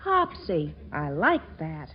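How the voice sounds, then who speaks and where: An actress playing a sly, teasing young con artist in a 1940s radio comedy, coy and self-possessed. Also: female voice, low voice, wildly swooping pitch, slow pace, slight background noise, heavily acted. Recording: muffled 1940s radio broadcast, music behind.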